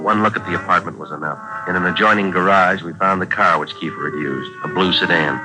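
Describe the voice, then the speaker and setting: Guy acting a terse 40s radio detective, really low matter-of-fact voice, music behind. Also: quick pace, natural voice, rough recording. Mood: deadpan. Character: terse, businesslike male police detective sergeant narrating his case. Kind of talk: 1940s radio police drama, clipped flat narration. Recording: old radio recording with music.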